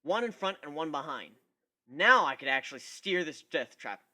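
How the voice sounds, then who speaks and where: A man's voice: fairly high pitch, sing-song, moderate pace, very noisy. A man giving an amateur first-person dramatic reading of a story in a wry, conversational manner. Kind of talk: first-person dramatic narration of fan fiction.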